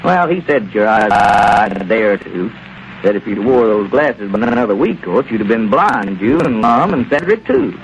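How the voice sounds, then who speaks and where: An actor playing a plain-spoken country friend with chuckling asides in a 1930s radio comedy. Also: male voice, lowish voice, lively intonation, moderate pace, a little hiss or hum, lightly acted. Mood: friendly. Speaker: townsman Dick. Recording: lo-fi old radio broadcast.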